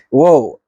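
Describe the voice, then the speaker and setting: A reaction video host with a Jamaican accent, giving earnest, reflective commentary and often checking in with "right?". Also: mid-range voice.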